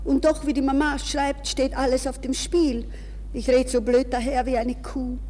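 A woman's voice: high-pitched, flat delivery, normal speed, a little mellow, natural voice. An actress playing an anxious, proud young woman in a nervous, pleading manner, in the style of 1960s radio drama. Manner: breathless, nervous, shifting between polite speech and inner thoughts. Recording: reverberant, hissy 1960s radio recording.

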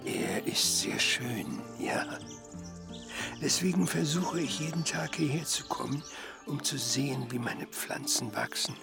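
Frail old wistful scholar guy, radio play, creaky and really drawn out, halting. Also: medium pitch, loud music behind the voice. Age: older. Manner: halting, deliberate, measured. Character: frail, wistful old retired botanist and grieving father. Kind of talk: radio drama acting.

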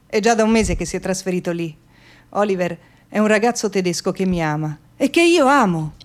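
A woman's voice: mid-range voice, animated, brisk, natural voice. A woman acting an anxious, determined young runaway in a radio theatre monologue, confiding in short narrative phrases. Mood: tender, resolute. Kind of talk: dramatic first-person monologue, radio theatre.

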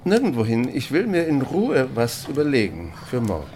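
An actor playing a stern, brooding married country pastor in a radio play, curt, evasive and reproving. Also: male voice, medium pitch, wildly swooping pitch, moderate pace, darkish tone, a little hiss or hum. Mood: irritated, restrained. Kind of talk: naturalistic German radio play acting. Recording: music behind the voice.

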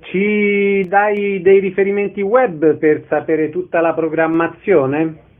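A man's voice: high voice, flat delivery, slow. A man working as an interviewer, putting casual questions in a radio conversation. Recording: narrow band, phone-like radio sound.

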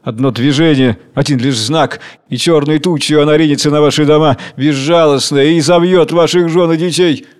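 A man's voice: a little high, fairly even intonation, normal speed, few pauses, darkish tone, clear, resonant tone, close to an everyday voice. Man voicing a wrathful king of hunger, ranting, commanding, declamatory, raised voice. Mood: fierce. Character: furious, raving tyrant king of hunger.

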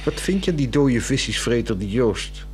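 A man's voice: mid-range voice, sing-song, normal speed, a little hiss or hum, close to an everyday voice. A man with a Netherlands Dutch accent playing a sullen, stubborn husband in a 1960s radio drama, blunt, curt and disdainful.

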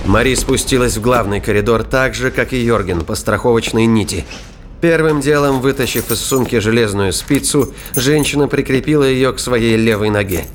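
A man's voice: mid-range voice, moderate pace, slight background noise. A man reading scripted narration for a science fiction thriller audio drama. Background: music and sound effects.

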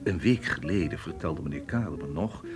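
Radio drama inspector: very deep, formal and polite, probing with quiet suspicion. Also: male voice, expressive, normal speed. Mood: polite, affable. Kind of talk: Dutch radio play acting.

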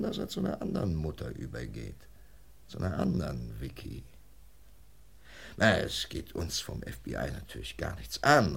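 An actor playing a methodical, persuasive FBI investigator in a German radio crime drama, measured, lecturing and emphatic. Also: male voice, medium pitch, creaky.

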